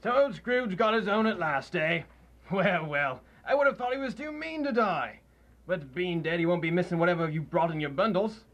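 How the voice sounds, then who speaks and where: Man as a high-voiced sly pawnbroker. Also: expressive, moderate pace, a bit bright. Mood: sly, nosy. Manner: wheedling, rough colloquial talk. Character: sly, greedy male pawnbroker, wheedling and mocking. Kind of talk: radio drama acting.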